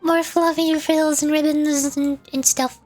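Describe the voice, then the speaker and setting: A woman with a notably high voice talks casually on a game livestream. Her manner is playful and exclamatory, and soft music plays behind her. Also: level tone, unhurried. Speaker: VTuber streamer, host. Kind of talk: casual livestream chat while gaming. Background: background music.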